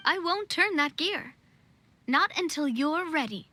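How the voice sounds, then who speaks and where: A woman's voice: high voice, expressive, unhurried, pushed and bright, slight background noise, heavily acted. A woman voicing a young, confident, playful queen in a video game, with spirited but poised delivery. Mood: composed. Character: young female monarch, playful, confident, reassuring.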